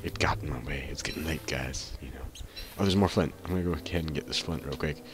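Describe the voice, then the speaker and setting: Congested, nasal deep-voiced man. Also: fast talker. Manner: rambling, full of ums and restarts. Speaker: gaming channel host. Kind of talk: casual gameplay commentary.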